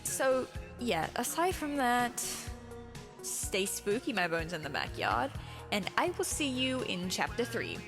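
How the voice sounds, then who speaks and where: A woman's voice: on the high side, very animated, a little slow. A woman who reads her own horror fan story as narrator and chats casually as its author. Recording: music under the voice.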